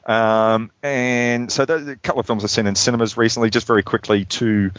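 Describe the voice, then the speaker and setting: Brief: deep-voiced man, podcast film chat, halting and rambling, dull dry sound. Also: little pitch movement, fairly bright tone, no room sound. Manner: rambling, halting, many fillers and restarts. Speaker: podcast co-host reviewing films.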